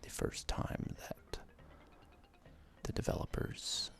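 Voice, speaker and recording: A man giving whispered ASMR commentary as a gaming video host, halting and hesitant, with casual asides as he plays. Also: fairly bright tone, soft background music.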